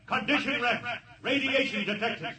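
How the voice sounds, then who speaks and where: An actor playing an urgent spaceship alarm announcer in a 1950s radio drama. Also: male voice, extremely high pitch, normal speed. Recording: distant, echoing, very noisy radio recording.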